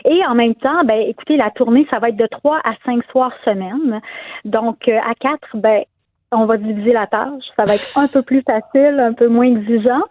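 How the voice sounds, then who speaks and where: A woman's voice: on the high side, moderate pace, no room sound. A woman singer from Quebec with a Saguenay Québécois accent, answering interview questions in a friendly, hesitant way with many fillers. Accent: Saguenay Québécois French. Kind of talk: casual radio interview. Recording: tinny phone line.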